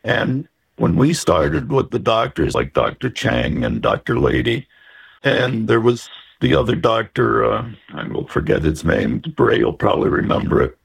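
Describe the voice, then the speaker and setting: A man's voice: medium pitch, big pitch swings, unhurried, vocal fry, husky. An older man recalling his own experience in a casual, plainspoken way. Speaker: interview guest, transplant recipient. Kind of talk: casual personal recollection.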